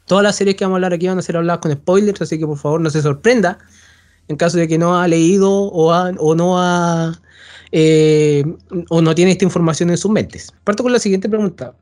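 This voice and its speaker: A man's voice: high-pitched, fairly quick, a little mellow. A man hosting a podcast, talking casually and rambling with frequent restarts. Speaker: podcast host.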